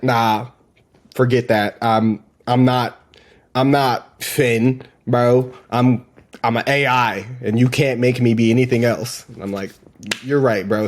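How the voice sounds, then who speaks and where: A man presents a demo in a casual, off-the-cuff way. His talk is informal and slangy, with an enthusiastic manner and an everyday mid-pitched voice. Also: darkish tone. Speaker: presenter demonstrating AI voice assistants.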